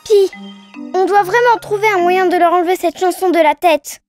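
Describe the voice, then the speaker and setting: A woman voicing an earnest, excitable little baby girl in a French children's cartoon dub, childlike and expressive. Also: very high voice, moderate pace. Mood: urgent. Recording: music behind the voice. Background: children's music.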